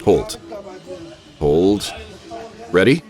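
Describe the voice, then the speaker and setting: An adventurous professor narrating a radio play. His low voice moves up and down with theatrical flair, and music runs behind it. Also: very animated, a little mellow, heavily acted. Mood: wary, commanding. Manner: measured, literary, theatrical storytelling. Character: adventurous, wary gentleman professor recounting his exploits. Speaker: narrator and lead character. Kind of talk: radio theater, dramatic first-person narration.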